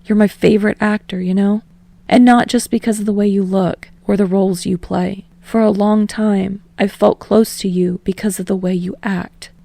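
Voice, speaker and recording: An earnest, open-hearted young man, as a woman reader plays him, soft and eager with a light vocal fry. The tone is mellow and the sound is close and dry. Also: mid-range voice, steady tone, normal speed, dry recording. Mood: tender. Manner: soft, open and eager, pleading when upset. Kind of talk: podfic reading.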